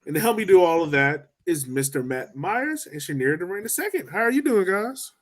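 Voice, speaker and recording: A man's voice: high-pitched, sing-song, brisk. A man hosting a casual sports podcast, upbeat and loose, laughing easily with his co-hosts. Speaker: podcast host.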